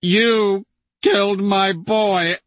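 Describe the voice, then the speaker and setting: A man voicing an older aristocratic father who shouts in fury and anguish. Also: high-pitched, steady tone, extremely slow, dry recording, muffled recording, pitched above the performer's own voice, exaggerated voice. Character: furious grieving older male aristocrat father.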